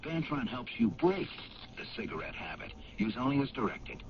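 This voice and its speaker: A man's voice: big pitch swings, moderate pace, vocal fry. An actor playing a father who smokes in a 1970s radio ad. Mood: folksy. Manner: folksy, pitchy. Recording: dull lo-fi radio, crowd noise.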